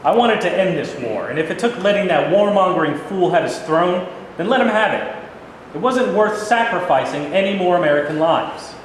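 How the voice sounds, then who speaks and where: A man playing a gruff, impatient American wartime president in a staged reading, speaking bluntly and forcefully. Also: expressive, normal speed. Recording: echoey hall, rumbling background noise.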